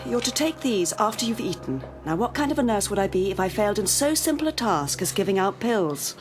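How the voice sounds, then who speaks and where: An actress playing a young hospital nurse who is brisk, playful and persistent with her patient. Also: medium pitch, big pitch swings, close to an everyday voice. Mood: teasing. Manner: brisk, teasing, coaxing. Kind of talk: radio drama acting. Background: music score.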